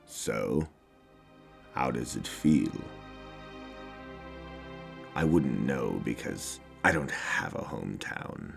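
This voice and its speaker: An actor playing a cold, haughty legendary warrior in a game parody, speaking slowly and with disdain. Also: male voice, rumbling low, lively intonation, vocal fry, over music, heavily acted. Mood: cold, brooding. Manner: slow, deliberate, disdainful.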